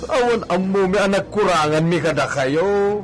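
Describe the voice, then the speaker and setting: A man's voice: high voice, lively intonation, normal speed, soft background music. An actor with an Ilocano Filipino accent playing a meek, stammering ranch servant who pleads with his master in a radio drama. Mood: fearful, pleading.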